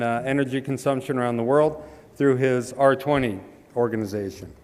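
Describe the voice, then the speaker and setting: A man stands at a podium and introduces the evening's main speaker with dry, joking asides, halting now and then on hesitant fillers. His voice rings smooth and resonant, and a long hall reverb trails each phrase. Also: mid-range voice. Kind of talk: humorous speech introduction. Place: large hall.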